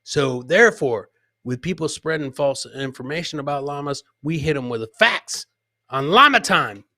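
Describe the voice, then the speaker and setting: A man hosting a casual, boisterous comedy show with loud, joking banter. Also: fairly high pitch, animated, moderate pace, no echo. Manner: profane. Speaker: podcast host.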